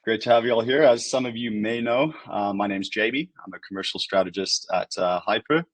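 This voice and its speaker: A man's voice: fairly low pitch, a little fast, dry recording. A man hosting a webinar interview, asking questions in a loose manner full of fillers. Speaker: webinar host.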